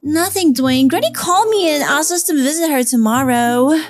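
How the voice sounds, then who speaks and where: A woman voicing a sleepy, dutiful schoolgirl big sister in an animated roleplay story, hesitant and mildly exasperated. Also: high-pitched, normal speed, over music, lightly acted. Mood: weary.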